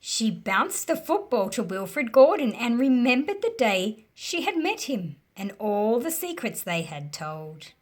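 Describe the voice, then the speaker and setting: Slow, animated woman reading to children. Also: on the high side. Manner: slow, deliberate, animated delivery for young listeners. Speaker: storyteller reading aloud to children. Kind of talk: expressive children's storybook read-aloud.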